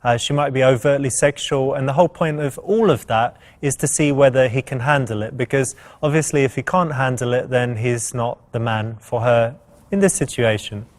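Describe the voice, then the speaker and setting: Mid-pitched man giving informal dating coaching. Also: moderate pace.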